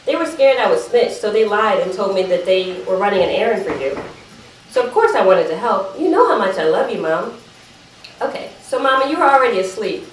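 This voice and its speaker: A woman's voice: medium pitch, expressive, a little fast, natural voice. Woman as teenage sister making excuses to Mama, apologetic yet talkative, dramatic reading in a reverberant room with faint noise. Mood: pleading, evasive. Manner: defensive, apologetic, chatty digressions. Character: evasive teenage sister pleading her case to Mama. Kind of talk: dramatic monologue read aloud at a live event. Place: live event room, recorded from a distance. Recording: roomy, distant microphone, faint background noise.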